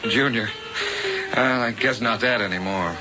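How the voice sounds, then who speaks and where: A man's voice: mid-range voice, measured pace, close to an everyday voice. An actor playing an earnest, courteous man grieving his father, subdued in a 1970s radio drama. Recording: dull old radio broadcast with music.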